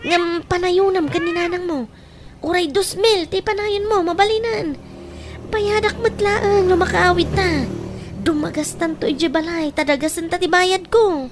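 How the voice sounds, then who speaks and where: A cocky tomboy sweetheart voiced by a woman in a radio comedy drama, smooth-talking and casual while angling for money. The voice sits high, and the recording is clearly noisy. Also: steady tone, moderate pace. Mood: wheedling. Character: swaggering, sweet-talking tomboy lover who mooches money.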